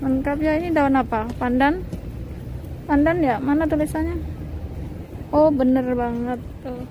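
A woman's voice: sits high, measured pace. A woman from Indonesia walking around a rooftop garden, recording on her phone and chatting curiously as she keeps asking what each plant is. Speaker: vlogger. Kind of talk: casual walking tour commentary. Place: outdoor rooftop garden in a city. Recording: noisy outdoor city ambience. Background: city ambience and passing traffic.

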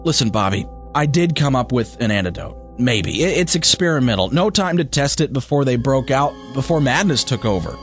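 An actor playing a guilt-ridden scientist in a radio play, speaking in an intense, halting, dramatic way. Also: male voice, mid-range voice, sing-song, soft background music. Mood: weary, remorseful. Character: guilt-ridden scientist brother turning into a vampire.

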